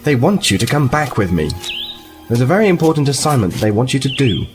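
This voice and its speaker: An actor playing a polite, earnest and somewhat halting envoy from a space fleet in a science fiction audio drama. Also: male voice, mid-range voice, big pitch swings, music in the background, lightly acted. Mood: earnest, persuasive. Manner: apologetic, halting, then insistent. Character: polite, earnest, hesitant Starfleet envoy on assignment.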